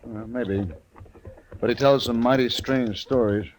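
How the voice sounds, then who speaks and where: An actor playing a hearty, skeptical Old West sheriff in a 1950s radio western, bluff and folksy, with a rural American accent. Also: male voice, medium pitch, fairly even intonation, a little slow, a little mellow, natural voice, low-quality recording. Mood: amused, skeptical. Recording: old radio recording with noise.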